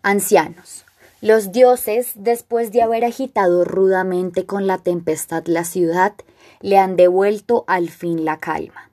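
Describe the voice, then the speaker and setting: A woman performs a stern, proud king from classical tragedy, addressing the elders. The delivery is very slow and solemn, with measured, declamatory phrasing at a middle pitch. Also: steady tone, dark tone. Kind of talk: classical tragedy monologue, recited.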